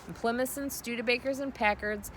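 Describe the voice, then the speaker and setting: A woman's voice: on the high side, steady tone, brisk, slight background noise. A woman narrating a children's storybook aloud.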